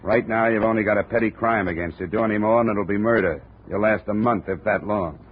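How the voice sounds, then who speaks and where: An actor playing a wry, hard-boiled insurance investigator in a 1950 radio detective drama, deadpan and wisecracking. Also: male voice, fairly low pitch, fast talker, close to an everyday voice. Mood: cool, firm. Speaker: narrator and lead actor. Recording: tinny, noisy old radio broadcast.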